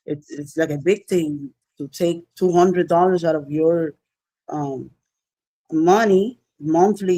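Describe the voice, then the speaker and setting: Mellow Cuban-accented woman telling stories casually. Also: sits low, no echo, low-quality recording. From Cuba. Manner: informal, peppered with swearing. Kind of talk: casual personal storytelling.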